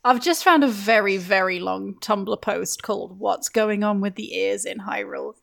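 A woman's voice: medium pitch, normal speed, gravelly. A woman chatting casually on a podcast about a video game, musing in a playful, jokey way. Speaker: podcast guest.

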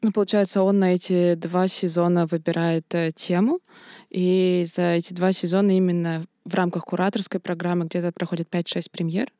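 A woman's voice: lowish voice, level tone, brisk, warm tone, no room sound, studio quality. A woman theatre producer speaking candidly and thoughtfully in a casual podcast discussion, laughing now and then.

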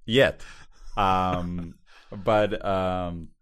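A man from Israel with an Israeli accent, co-hosting a casual podcast and talking in a halting way with many fillers and restarts. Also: low-pitched, steady tone, a bit bright, clear, resonant tone. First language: Hebrew. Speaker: podcast co-host.

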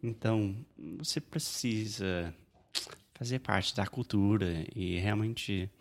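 Low, creaky-voiced man. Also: slow. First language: English. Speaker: podcast co-host and language teacher. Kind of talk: casual bilingual podcast chat.

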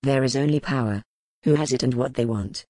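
A woman voicing a grim, powerful male duke, speaking low, measured and brooding in an audiobook. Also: mellow, no room sound, clean studio sound. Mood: tender. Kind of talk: audiobook character voice.

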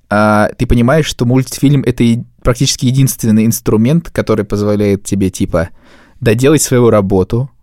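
A man's voice: mid-range voice, darkish tone, dry recording. A man, recorded dry with very little room sound. He talks as a podcast co-host in a colloquial way, thinking aloud as he goes. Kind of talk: casual conversational discussion.

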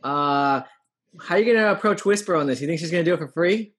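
A man acting as game master, calmly reminding players of the rules and prompting their plans. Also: on the high side, fast talker, slight background noise. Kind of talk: running a tabletop game over a call.

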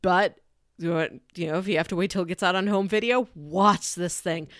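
A woman's voice: fairly low pitch, a little fast, no echo, clean studio sound. A woman with an American accent chatting enthusiastically and emphatically as she recommends films as a podcast co-host. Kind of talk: casual chat, film recommendations.

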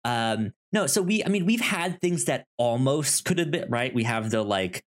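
A man's voice: mid-range voice, animated, moderate pace, a little hiss or hum. A man co-hosting a casual movie podcast, often hesitant and thinking aloud as he goes. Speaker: podcast co-host.

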